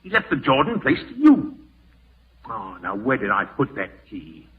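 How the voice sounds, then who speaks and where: Greedy would-be killer, frantic to menacing, widely swinging pitch, vintage radio horror, thin narrow sound. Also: male voice, huge pitch swings, normal speed. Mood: irritated, evasive. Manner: frantic outbursts and menacing muttering. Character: greedy, panicky, murderous man scheming for an inheritance. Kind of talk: 1940s radio horror acting. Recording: tinny band-limited old radio broadcast.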